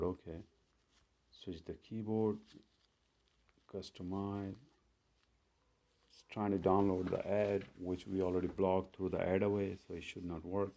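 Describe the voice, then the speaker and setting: Slow, low-voiced man walking through phone steps. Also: dark tone. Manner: step-by-step, unhurried, plain instructions with fillers. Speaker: tech tutorial host. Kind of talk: screen-recorded phone tutorial.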